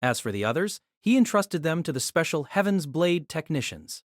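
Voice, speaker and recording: A man's voice: fairly high pitch, big pitch swings, normal speed, no room sound, studio quality. A man reading scripted narration for a fantasy story. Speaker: narrator of a fantasy audio drama.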